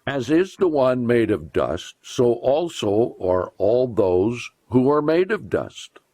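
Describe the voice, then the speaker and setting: A man reading scripture aloud as a preacher, in a solemn, deliberate and emphatic declamatory way. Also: lowish voice, a little mellow, no room sound.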